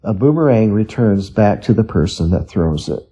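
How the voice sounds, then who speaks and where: A man's voice: lowish voice, lively intonation, normal speed, mellow, slightly rough. A man reading scripted informational passages aloud for an English lesson.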